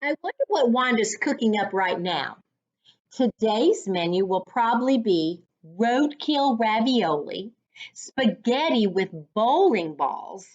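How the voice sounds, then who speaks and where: A woman reading a children's story aloud as a reading coach, with a deliberate, instructive, teacher-like delivery. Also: fairly high pitch. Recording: dull lo-fi sound.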